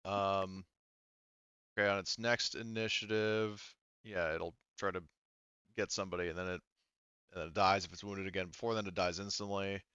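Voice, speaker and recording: A man narrating a casual tabletop roleplaying game as game master, halting and thinking aloud as he works through rules. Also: sits low, steady tone, pushed and bright, smooth, no echo, highs cut off.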